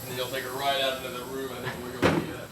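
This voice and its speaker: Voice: medium pitch, monotone, rapid-fire, crowd noise. A venue staffer giving casual, helpful directions indoors.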